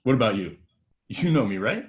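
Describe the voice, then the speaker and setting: A man's voice: mid-range voice, big pitch swings, brisk, natural voice. A man at a table read playing a cocky, crude young actor who taunts people and talks himself up. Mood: cocky, pleading. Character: cocky, mocking hot-shot young male actor in trouble.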